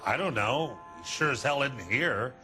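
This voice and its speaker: A shifty 1940s American car dealer in a game drama. His voice is forceful and bright, swinging widely as he talks his way out with glib, defensive grumbling. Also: mid-range voice, lively intonation, a little slow, soft background music. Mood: grudging, irritated. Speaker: car salesman character. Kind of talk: video game cutscene acting.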